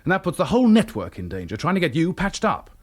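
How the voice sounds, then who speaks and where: An actor playing a seasoned wartime resistance leader in a radio drama, firm and plain-spoken. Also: male voice, fairly high pitch, wildly swooping pitch, fast. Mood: firm, instructive.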